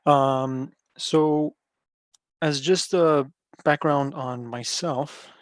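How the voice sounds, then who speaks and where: A man's voice: a little high, fairly even intonation, very slow, dry recording, clean studio sound. Hesitant young man from Iran. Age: 20s. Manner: hesitant, many fillers, searching for words. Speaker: meetup participant. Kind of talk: online group discussion. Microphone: video call.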